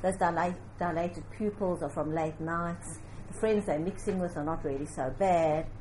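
A woman's voice: on the low side, normal speed, darkish tone, slight background noise, poor audio. Emphatic South African woman giving a talk. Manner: emphatic, direct, instructive. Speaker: parenting speaker. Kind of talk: motivational talk with storytelling.

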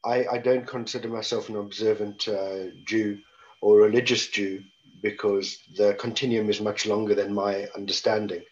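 A man's voice: lowish voice, level tone, moderate pace. This is a middle-aged South African man speaking conversationally on a video-call panel, with a wry touch. The audio is dull and lo-fi. His speech is full of restarts and small asides. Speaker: panel guest. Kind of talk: reflective panel talk.

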